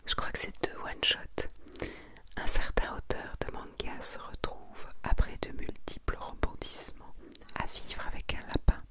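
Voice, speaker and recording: A woman whispering softly and without hurry in an ASMR style, as she presents and reads aloud. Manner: soft, hushed, unhurried whispering. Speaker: ASMR video creator presenting a manga collection. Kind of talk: whispered ASMR, reading and commenting.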